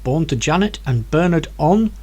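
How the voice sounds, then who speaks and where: A man voicing a calm hologram professor, an AI helper giving measured, matter-of-fact replies. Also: fairly high pitch.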